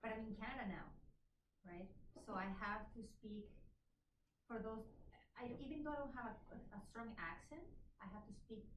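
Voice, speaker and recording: A woman's voice: on the high side, normal speed. A woman with a Colombian accent gives earnest, practical advice as a panelist, her words scattered with little fillers. She is heard from a distance in an echoey room, with noise audible behind her. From Caribbean coast of Colombia. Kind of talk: panel discussion answer. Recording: echoey room, far from mic, noisy.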